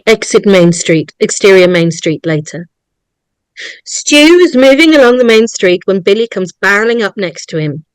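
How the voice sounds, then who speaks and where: A woman's voice: mid-range voice, lively intonation, normal speed, smooth, no echo, low-quality recording. A woman narrator reading screenplay stage directions aloud.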